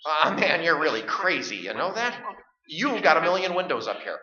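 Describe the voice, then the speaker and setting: A man's voice: on the high side, big pitch swings, normal speed, sharp tone. A male actor in a staged radio play, playing a stubborn, frightened middle-aged husband who argues in an agitated, stammering way. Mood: combative, agitated. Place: live stage performance. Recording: dull, echoey live stage recording.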